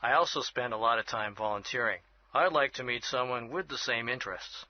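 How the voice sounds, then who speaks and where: A man in his thirties acting an earnest reporter introducing himself slowly. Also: medium pitch, lightly acted. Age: 30s. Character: earnest male reporter and music lover. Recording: dull lo-fi audio.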